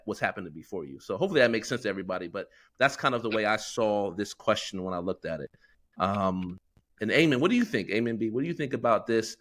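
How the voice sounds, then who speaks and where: A man's voice: on the low side, fairly bright tone. A middle-aged American man co-hosting a podcast, talking earnestly and reflectively with plenty of fillers. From United States. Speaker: podcast co-host. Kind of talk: casual podcast discussion.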